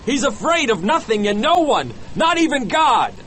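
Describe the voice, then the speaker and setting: Man acting anxious, high-pitched, desperate ship's mate. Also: big pitch swings, normal speed, a bit bright, a little hiss or hum, heavily acted. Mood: alarmed. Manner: nervous, urgent, crying out. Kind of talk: dramatized storybook narration.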